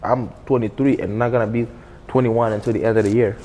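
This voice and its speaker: A man's voice: medium pitch, steady tone, quick pace, warm tone, slight background noise. A man in his early twenties debating casually with friends, firm and slangy, repeating himself. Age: early 20s.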